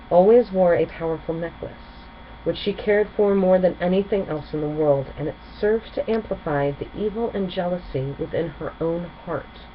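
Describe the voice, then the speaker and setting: Lower-pitched woman reading a children's story. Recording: muffled, with clear background noise.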